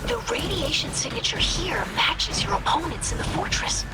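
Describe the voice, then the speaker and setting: An actress voicing a young, earnest alien scholar in a video game, with inquisitive, precise phrasing. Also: female voice, sits high, big pitch swings, normal speed. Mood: analytical. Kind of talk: video game character dialogue. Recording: game dialogue over loud music.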